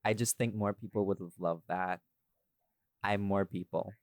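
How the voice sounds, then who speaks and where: A man's voice: lowish voice, steady tone, moderate pace, a little mellow, no echo, studio quality. A man chatting casually and expressively about his own audio work on a behind-the-scenes podcast. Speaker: audio drama creator, podcast host.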